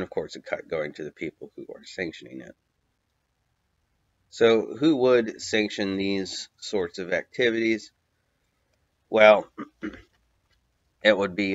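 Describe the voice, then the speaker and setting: A man narrating a video essay in an unscripted, halting way, with many fillers and long spoken lists. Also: low-pitched, fairly bright tone, no echo, muffled recording. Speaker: commentator.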